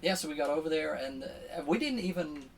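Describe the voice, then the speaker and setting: A man chatting casually and telling a story as an interview guest, with a hesitant, halting delivery. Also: fairly high pitch, expressive, creaky. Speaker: podcast guest, sailor. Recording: distant mic with faint background noise.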